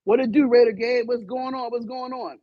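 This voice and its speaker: A man hosting a live stream, loud and animated, swearing and arguing back at his chat. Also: extremely high pitch, fairly quick, no room sound, highs cut off. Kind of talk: casual live stream rant.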